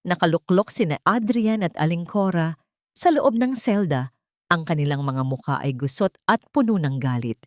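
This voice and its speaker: A woman narrates a scripted love drama. Her voice sits a little low. The recording is dry and close, with very little room in it. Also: lively intonation, normal speed, no echo. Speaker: storyteller.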